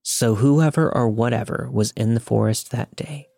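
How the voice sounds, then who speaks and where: A man's voice: medium pitch, moderate pace, gravelly, mellow, no echo. A man reading a scripted first-person scary story as a podcast narrator. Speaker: podcast host reading listener stories.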